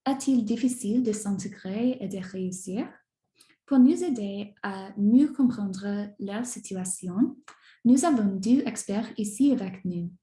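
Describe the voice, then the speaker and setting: Brief: woman show host, mellow tone, reading a script, roomy echo. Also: mid-range voice. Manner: scripted, read-aloud. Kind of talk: scripted talk show hosting.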